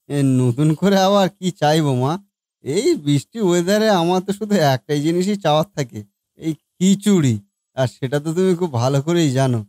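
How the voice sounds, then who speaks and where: A slightly high, mellow male voice acted by a man as a coaxing grown son in a comic cartoon. The sound is close and dry. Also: animated, moderate pace, dry recording, natural voice. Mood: coaxing, playful. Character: coaxing, food-loving grown son talking to his mother.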